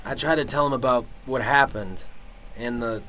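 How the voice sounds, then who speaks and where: A man's voice: mid-range voice, moderate pace, fairly bright tone, a little hiss or hum, natural voice. A man playing a cynical, sarcastic detective in an amateur radio drama, with a blunt, grumbling delivery. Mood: suspicious. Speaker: actor.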